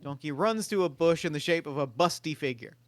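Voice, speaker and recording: A man reading the stage directions in a table read, in a quiet, matter-of-fact way. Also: on the high side, lively intonation, a little fast, dry recording.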